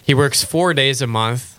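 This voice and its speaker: A man hosting a radio talk show speaks with a bright, ringing tone. He chats in a loose, friendly way and laughs easily at his own jokes. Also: mid-range voice, takes it slowly. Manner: chatty, self-deprecating, laughs easily. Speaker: radio host. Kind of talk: casual faith radio chat.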